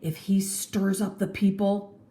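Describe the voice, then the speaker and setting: A woman's voice: medium pitch, flat delivery, measured pace, warm tone, natural voice. Woman as a hissing Roman conspirator, echoey room. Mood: alarmed hissing. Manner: hissing, urgent. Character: Cassius, suspicious, alarmed Roman male conspirator.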